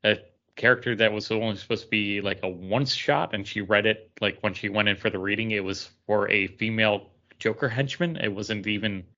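A man's voice: fairly low pitch, steady tone, fairly quick, sharp tone, lo-fi sound. A man chatting casually as a podcast co-host. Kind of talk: casual chat.

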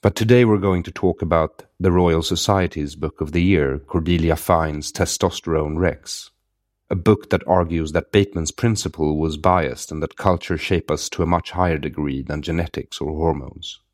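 Swedish guy hosting a podcast, super low voice, dry sarcastic delivery. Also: normal speed, darkish tone. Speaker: podcast host. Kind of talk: read intro then casual conversation.

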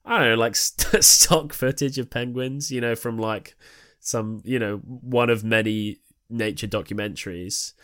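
A man's voice: medium pitch, a little slow, a bit bright, smooth, dry recording, clean studio sound. A man co-hosting a podcast, talking casually through creative ideas for staging a play. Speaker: podcast co-host.

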